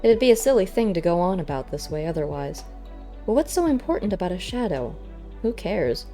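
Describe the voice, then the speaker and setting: A woman's voice: fairly low pitch, very animated, brisk, mellow, faint music behind. A woman voicing a practical male animal investigator, matter-of-fact and probing, in an audiobook story. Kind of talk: audiobook character voice.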